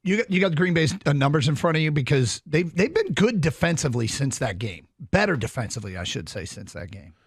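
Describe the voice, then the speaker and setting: A man co-hosting a sports radio show, chatting casually and rattling off injury reports briskly. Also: on the high side, big pitch swings, husky, no room sound. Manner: brisk, reads lists quickly. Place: radio studio.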